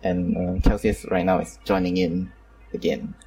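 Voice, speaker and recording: A man's voice: low voice, little pitch movement, normal speed, mellow, husky, far from the mic, poor audio. A man hosting a casual online group chat, talking hesitantly with many fillers and apologies. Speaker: host.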